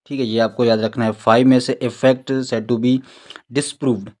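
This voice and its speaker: A man with a Pakistani accent and a mid-range voice teaches in an informal lecture style. He explains step by step and repeats key terms so they stick. When he reads English phrases aloud, he becomes halting and deliberate. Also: mid-range voice, little pitch movement, darkish tone. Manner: instructional, repeats key words for memorising. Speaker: exam-prep teacher. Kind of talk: informal recorded lecture, reading out questions and explaining.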